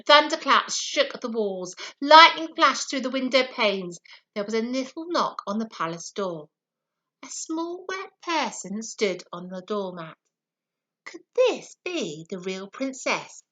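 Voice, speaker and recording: A woman teacher telling a children's story with plenty of expression. Her voice is bright and fairly high, rising and falling in an animated, encouraging way. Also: measured pace.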